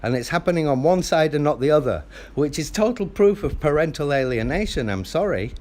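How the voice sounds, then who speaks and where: A father on a phone call, arguing in a loud, insistent, lecturing way with the phone held close to his mouth. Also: fairly high pitch, expressive, a little fast. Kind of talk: heated phone argument.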